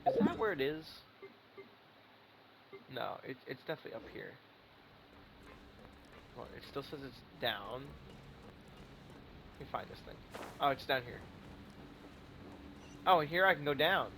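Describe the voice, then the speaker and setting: A man's voice: medium pitch, expressive, a little slow, gravelly, long pauses, noisy background. A man giving casual gameplay commentary as a streamer, thinking aloud in an informal, sweary way. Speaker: video game streamer.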